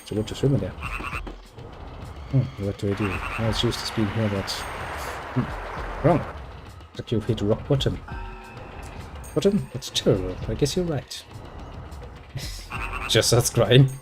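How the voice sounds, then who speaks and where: A man's voice: on the low side, lively intonation, unhurried, long pauses, over music. A man reading game dialogue aloud and adding dry, sarcastic jokes as he plays. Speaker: gameplay video host.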